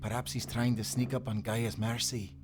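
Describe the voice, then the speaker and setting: A man's voice: mid-range voice, level tone, normal speed, natural voice. A man playing a loyal, salty sailor in a nautical audio drama, protective and urgent, with dramatic seafaring turns of phrase. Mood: tense, wary. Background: music.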